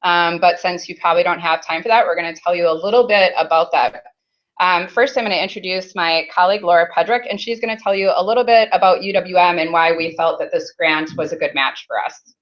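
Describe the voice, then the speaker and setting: A woman's voice: on the low side, fairly quick, lo-fi sound. A woman opening a conference workshop, chatty and casual with many ums, speaking into a handheld mic in a meeting room. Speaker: conference session host. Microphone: handheld mic with faults.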